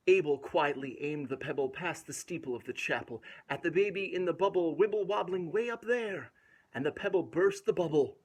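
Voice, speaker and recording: Expressive male children's storyteller, slightly husky. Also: high-pitched. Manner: playful, expressive, dramatic emphasis on the rhymes. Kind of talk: animated read-aloud of a rhyming picture book with asides to young viewers.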